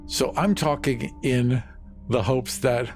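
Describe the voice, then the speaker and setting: A man with a medium-pitched voice plays a tabletop game. He talks through his ideas in casual table talk. Music is heard behind him. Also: slow pace.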